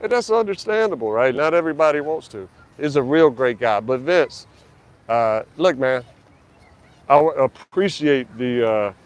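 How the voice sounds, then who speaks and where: Chatty man streaming outdoors. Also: fairly high pitch. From United States. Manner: loose and chatty, many fillers and restarts. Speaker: vlogger live-streaming on the street. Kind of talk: casual live-stream chat. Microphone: handheld mic.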